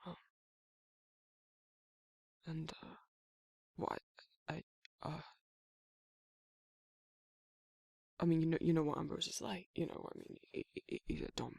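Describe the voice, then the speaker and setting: A British man playing a protective but awkward male werewolf in an intimate romantic ASMR roleplay, speaking in a halting, flustered, husky voice. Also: a little high, level tone, a little mellow, no echo. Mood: flustered, coaxing. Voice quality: gravelly, husky, breathy. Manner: flustered, halting, stammering, trailing off.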